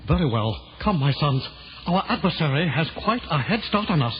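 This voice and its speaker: A man playing a wise old mutant rat master, grave, measured and fatherly, in a children's audio drama on dull tape. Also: on the high side, big pitch swings. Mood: resolute. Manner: solemn, measured, soft-spoken. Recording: dull lo-fi tape, loud music behind.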